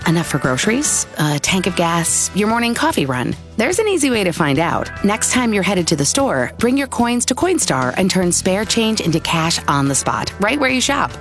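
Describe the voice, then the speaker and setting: A woman voicing radio commercials in a smooth, friendly read. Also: medium pitch, big pitch swings, moderate pace, hardly any pauses, soft background music. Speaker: ad announcer.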